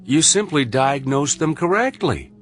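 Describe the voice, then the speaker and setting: An actor playing a skeptical, gruff male clinic doctor in a radio drama, with a dry, blunt, incredulous way of talking. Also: fairly high pitch, sing-song, measured pace, faint music behind. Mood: skeptical, demanding.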